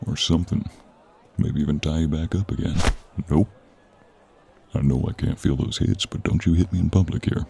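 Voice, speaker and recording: A man playing a gruff, wry, honourable monster hunter in an audio roleplay, with a gravelly, husky voice and informal manner. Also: extremely low pitch, normal speed, darkish tone, heavily acted. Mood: wry, guarded. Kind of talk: audio roleplay acting, speaking to the listener.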